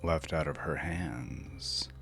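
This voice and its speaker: A man announces and narrates a horror audiodrama. He speaks slowly in a very low voice, with deliberate, theatrical phrasing. Music runs beneath his scripted delivery. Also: vocal fry, a bit bright. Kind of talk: scripted recap and story narration. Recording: music under the voice.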